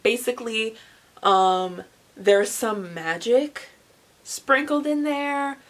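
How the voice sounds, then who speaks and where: A woman's voice: on the high side, takes it slowly. A young woman chatting casually and emphatically to camera, reviewing books in an informal way. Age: young adult. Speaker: book reviewer vlogger.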